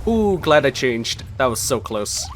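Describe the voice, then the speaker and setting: A man's voice: medium pitch, sing-song, a little slow, noisy background. A man giving casual gameplay commentary, talking to the game as he plays. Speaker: let's play host. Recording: game music behind the voice. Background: video game music and game audio.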